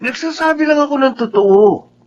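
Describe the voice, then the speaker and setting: A man's voice: extremely high pitch, expressive, normal speed, darkish tone, dull audio, a little hiss or hum. An actor with a Filipino accent playing a male office worker in a dramatic audio story. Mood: smug. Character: boastful male office worker, later shaken and remorseful. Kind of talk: Filipino radio drama acting and narration.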